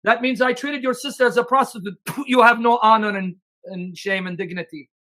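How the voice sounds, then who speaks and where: A man's voice: sits high, a little fast, sharp tone. A man hosting a heated live-stream debate, talking in a mocking, combative way and cutting in again and again.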